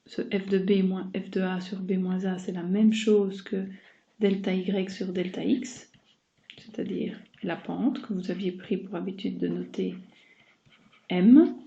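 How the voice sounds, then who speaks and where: Mellow-voiced woman teaching math, roomy muffled recording. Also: mid-range voice, fairly even intonation, slow, vocal fry. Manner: didactic, step-by-step, addressing students directly. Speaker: mathematics teacher. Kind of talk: explanatory recorded math lesson. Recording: dull muffled sound, roomy echo.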